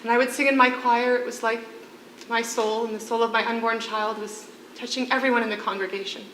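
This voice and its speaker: In a roomy, echoing space with faint noise, an actress plays a condemned woman prisoner in a stage drama. Her voice is highish and bright, and she speaks with earnest, deliberate weight as a defiant, devoted mother. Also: steady tone, lightly acted. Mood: tender. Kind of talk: theatrical stage play acting. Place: theatre stage, live performance.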